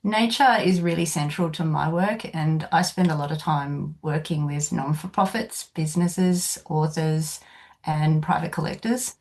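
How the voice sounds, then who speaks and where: Deep creaky Australian woman. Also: a little slow, a little mellow. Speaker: illustrator guest. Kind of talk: personal introduction on a video call. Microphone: video call.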